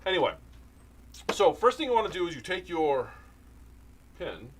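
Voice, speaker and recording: A man's voice: on the high side, sing-song, moderate pace, a little hiss or hum. A man teaching sewing machine maintenance in a casual, jokey demo, often wandering off topic. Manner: rambling, jokey, easily sidetracked.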